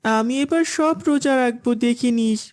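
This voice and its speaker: A woman's voice: on the high side, normal speed, a little mellow, natural voice. A woman voicing an eager, stubborn young schoolboy in a cartoon, with an insistent, childlike manner. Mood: earnest. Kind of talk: cartoon dialogue.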